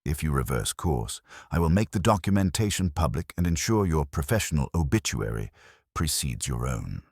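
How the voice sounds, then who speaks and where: A man voicing an imperious, unhurried detective who never leaves his chair, speaking in a cold, precise, commanding way. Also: very deep voice, big pitch swings, a little mellow, breathy edge, no room sound, studio quality, full character voice. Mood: stern.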